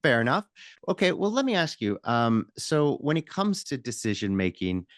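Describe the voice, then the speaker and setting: A man hosts a business podcast in a dry, close-miked sound with little room echo. His voice sits at an average pitch. He asks his questions haltingly, stopping and restarting as he goes. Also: big pitch swings. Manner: hesitant, many fillers and restarts when asking questions. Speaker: podcast host. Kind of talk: podcast interview and read sponsor ad.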